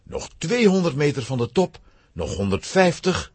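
A man with a husky voice narrating a children's audio drama as dramatic scripted storytelling. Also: fairly high pitch, big pitch swings, normal speed, a little mellow.

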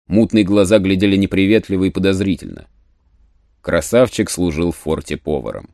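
Deep-voiced male storyteller, dry studio sound. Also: dark tone, no room sound. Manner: measured, literary storytelling. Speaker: narrator. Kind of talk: scripted audiobook narration of an adventure story.

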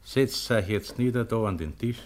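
Radio drama role, male actor: humble Bavarian lay brother, deep register, gentle and unassuming, endlessly patient. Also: moderate pace, close to an everyday voice. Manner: mild, kindly, unassuming. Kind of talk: German radio drama acting.

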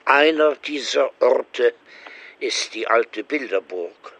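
Slow, husky, haughty lawyer. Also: male voice, medium pitch, expressive, fairly bright tone, dull audio, heavily acted. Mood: sly, amused. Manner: measured, condescending. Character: haughty, sly, cultured male lawyer. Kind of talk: German radio crime drama acting.

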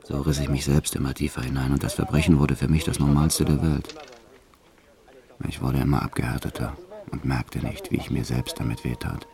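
Radio play lead: brutal career criminal confessing matter-of-factly, extremely low voice. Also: male voice, steady tone, brisk, vocal fry, a crowd in the background. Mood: cold, proud. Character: violent male career criminal confessing his life, brutal yet tender. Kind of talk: first-person radio play narration.

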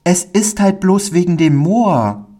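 A man's voice: high voice, expressive, slow pace, warm tone, pitched above the performer's own voice. A man voicing a timid, naive little village boy from a storybook, eager and anxious. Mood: shy longing. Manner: anxious, naive, dialect-tinged childlike speech.

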